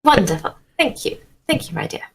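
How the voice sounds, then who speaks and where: A woman's voice: medium pitch, big pitch swings, a little fast, vocal fry, slightly rough, pitched above the performer's own voice, heavily acted. A woman voicing a courteous, genteel lady in a tabletop role-playing game, polite and a little hesitant.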